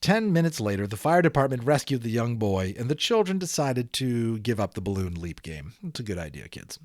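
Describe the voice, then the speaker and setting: A man's voice: medium pitch, fairly quick, no room sound. Man reading a children's story, dry sound. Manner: animated storyteller, explains asides to young listeners. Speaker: audiobook reader. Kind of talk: expressive children's book read-aloud with casual asides.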